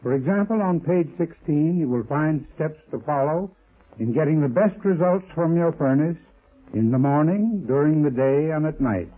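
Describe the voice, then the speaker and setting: A man giving a formal, deliberate 1930s radio advertising talk as a sponsor's heating expert. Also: fairly high pitch, lively intonation. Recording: very muffled, rough old radio recording.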